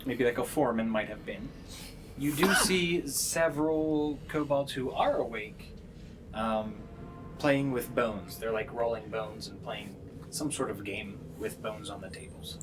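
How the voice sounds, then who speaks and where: A man's voice: mid-range voice, unhurried, background noise. A man improvising narration as the game master of a tabletop game.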